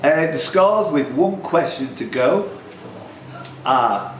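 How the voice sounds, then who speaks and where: A man's voice: fairly high pitch, lively intonation, slow. Man, pub quiz host, informal delivery, chatty asides, roomy sound, background noise. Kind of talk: reading quiz questions and scores aloud. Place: indoor pub.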